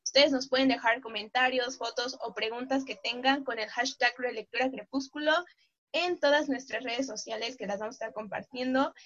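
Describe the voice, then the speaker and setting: Upbeat young Mexican woman, high voice. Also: fairly even intonation, moderate pace, fairly bright tone, muffled recording. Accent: Mexican Spanish. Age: 20s. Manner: upbeat, inviting. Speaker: podcast co-host. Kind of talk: announcement to listeners.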